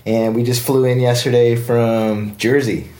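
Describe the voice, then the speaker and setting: A man's voice: medium pitch, level tone, measured pace, warm tone. A man with an American accent hosting a podcast in a casual, conversational interview style. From United States. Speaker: podcast host.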